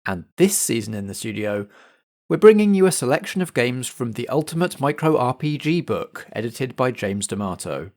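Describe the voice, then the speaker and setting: A man's voice: medium pitch, sing-song, moderate pace, a little mellow. A man hosting a podcast, giving a scripted introduction to the show. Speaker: podcast host.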